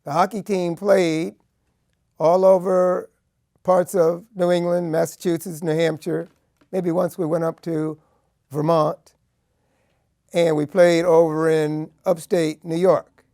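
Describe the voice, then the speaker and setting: A man in his seventies from Connecticut with an American accent, telling his life story in a deliberate, measured way, in short phrases. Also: fairly high pitch, level tone, vocal fry, warm tone, dry recording, studio quality. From Connecticut, United States. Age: 70s. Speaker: law professor and civil rights lawyer. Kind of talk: personal oral history storytelling.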